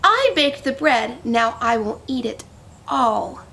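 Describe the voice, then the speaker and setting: A woman reading the part of a hardworking, self-reliant little red hen in a storybook, with deliberate, plain declarations and requests. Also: on the high side, very animated, sharp tone, full character voice. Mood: firm.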